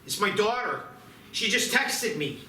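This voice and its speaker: A man's voice: sits high, animated, unhurried, bright, forceful tone, exaggerated voice. A man playing a distraught small-town sheriff and desperate father in a screenplay read, emotional and exclamatory. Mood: frantic, angry. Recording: very echoey, distant mic, noisy.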